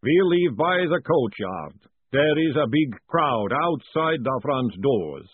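A man voicing a slow, solemn male golem servant who speaks in flat, deliberate sentences. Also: mid-range voice, breathy edge, no echo, pitched above the performer's own voice. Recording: tinny phone-like audio.

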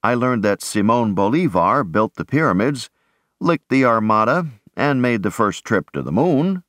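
A man's voice: mid-range voice, lively intonation, normal speed, a bit bright, no room sound, studio quality, natural voice. A man voicing an easygoing, wisecracking young male soldier in an audiobook. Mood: breezy. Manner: breezy, wisecracking. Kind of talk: audiobook character voice.

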